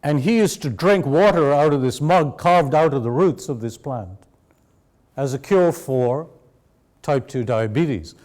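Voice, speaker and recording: A man raised in Sri Lanka giving a measured, explanatory university lecture to an audience. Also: medium pitch, expressive, darkish tone. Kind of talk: academic lecture.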